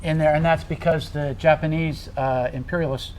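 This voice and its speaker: A man's voice: on the high side, steady tone, normal speed, darkish tone. A middle-aged man, a tea blender, chatting at a tasting in a hesitant way with many fillers. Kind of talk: casual conversation at a tasting. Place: tasting room in a tea factory. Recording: faint room noise.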